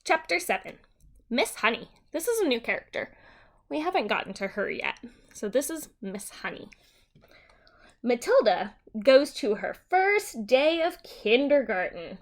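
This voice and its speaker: A woman with a fairly high voice reads a children's story aloud as a teacher. Her delivery is very lively in pitch and notably slow, with simple, deliberate explanations meant for young listeners.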